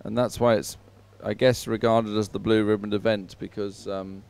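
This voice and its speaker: A man giving unhurried live sports commentary, filling time between races in halting phrases with frequent pauses. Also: lowish voice, little pitch movement, vocal fry, darkish tone, clean tone.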